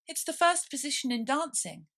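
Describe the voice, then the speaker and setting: A woman's voice: high-pitched, sing-song, moderate pace, gravelly, fairly bright tone, voice pushed up, full character voice. A woman voicing a polite, timid young girl in a storybook reading. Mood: eager.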